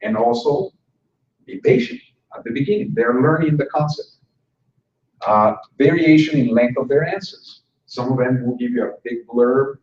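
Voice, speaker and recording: A man's voice: mid-range voice, animated, normal speed, darkish tone. A man giving a conversational conference presentation to instructors, joking with the audience now and then. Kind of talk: conference talk. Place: conference room. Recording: roomy hall sound from a distant mic, dull and slightly noisy.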